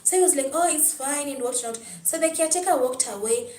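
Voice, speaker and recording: A woman's voice: high voice, brisk, a little mellow, roomy sound, far from the mic. A young Kenyan woman with a Kenyan accent, hosting a casual livestream, reading comments aloud and telling a personal story. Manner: chatty, answers chat comments, storytelling. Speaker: livestream host. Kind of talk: casual livestream talk with viewers.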